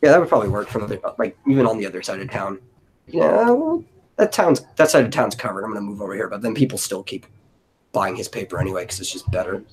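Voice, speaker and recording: Low-pitched, slightly husky man brainstorming casually. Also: expressive, fast talker, poor audio. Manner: informal, thinking aloud. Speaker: host of an audio drama brainstorming stream. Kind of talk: casual group brainstorming chat.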